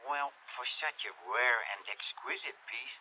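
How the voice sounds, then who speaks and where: A man plays a slimy underworld informant from Paris in a 1950s radio drama. His French accent is oily and greedy, stretched out with false courtesy. The voice is clean and smooth, but the recording is narrow and phone-like. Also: mid-range voice, close to an everyday voice. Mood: informative, smooth. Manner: oily, drawn-out haggling with mock politeness.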